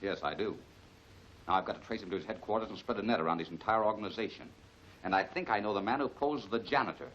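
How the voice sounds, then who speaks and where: A man's voice: lowish voice, quick pace, a bit bright, a little hiss or hum, lightly acted. An actor playing a confident, unruffled male crime-fighting hero in a 1940s film serial, speaking with assurance. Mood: calm, assured. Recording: muffled 1940s film soundtrack with music.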